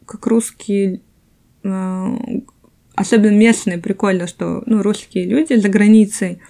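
A woman's voice: medium pitch, steady tone, measured pace, vocal fry, dark tone. A woman in her early thirties co-hosting a podcast, telling stories in a chatty, informal way. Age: early 30s. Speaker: podcast co-host. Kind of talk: casual chat among co-hosts.

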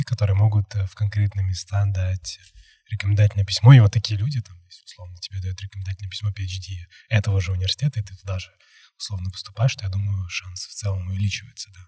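Young guy hosting a podcast, low husky voice, rambly and full of fillers. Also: warm tone, no room sound, studio quality. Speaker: podcast host. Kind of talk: casual podcast chat.

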